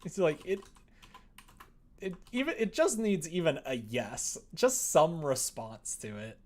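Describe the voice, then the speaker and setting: Young man thinking aloud, lively pitch. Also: unhurried. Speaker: streamer, visual novel developer. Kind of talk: casual livestream commentary while editing a script.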